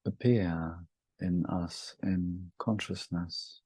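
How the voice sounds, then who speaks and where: A man guides a meditation in a low-pitched, husky voice. His phrases are short and gentle, set apart by long pauses. The sound is very dry and close. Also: fairly even intonation, no echo.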